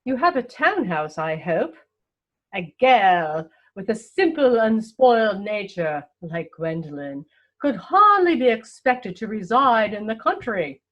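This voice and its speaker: A woman playing a haughty, snobbish older aristocratic lady in a comic play reading, imperious and pompous, with deliberate enunciation. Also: mid-range voice, very animated, lo-fi sound. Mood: imperious, probing.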